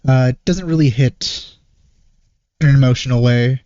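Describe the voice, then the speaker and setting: A man giving casual reaction commentary as a video host, in a hesitant, low-key manner. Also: moderate pace, warm tone, dry recording, dull audio. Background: game trailer music.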